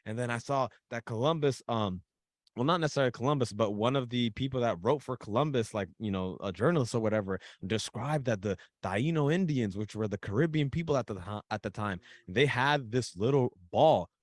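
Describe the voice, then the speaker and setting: Dry, animated man telling a story. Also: mid-range voice, fairly quick, no echo. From Dominican Republic. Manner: animated storyteller, repeats and restarts when excited. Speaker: guest author. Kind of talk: casual podcast interview.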